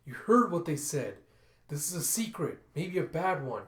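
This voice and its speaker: A man's voice: a little high, moderate pace, a bit bright, reverberant, far from the mic, natural voice. A man voicing a bitter, protective mother fox, scolding and urgent, in a story read-through. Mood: angry scolding.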